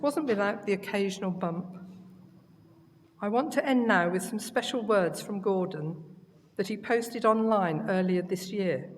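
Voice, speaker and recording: A woman reading a prepared eulogy at a funeral in a measured, solemn way. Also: mid-range voice. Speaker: funeral eulogist, friend of the deceased. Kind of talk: prepared eulogy read aloud. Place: crematorium chapel during a funeral service. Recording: echoey hall reverb, faint background noise.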